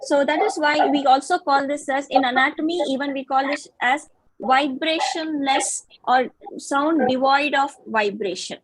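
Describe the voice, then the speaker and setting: A woman's voice: high voice, moderate pace, no echo, rough recording. A woman giving an online phonetics lecture, patiently explaining and checking in with frequent questions. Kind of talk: online lecture over video call.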